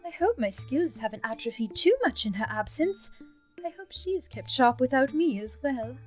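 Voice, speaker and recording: Woman doing an elegant goddess, cool and a bit teasing, lively swooping voice, kinda high, faint music. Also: normal speed. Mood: poised, teasing. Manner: poised, gently teasing, lofty. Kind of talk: fan audio drama acting.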